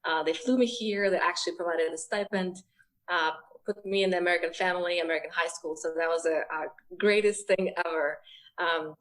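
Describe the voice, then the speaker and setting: A woman's voice: fairly low pitch, steady tone, brisk, low-quality recording. A woman from Ukraine telling her story as an interview guest, open and talkative. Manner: open, storytelling, some fillers. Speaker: program manager, guest. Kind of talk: interview answers.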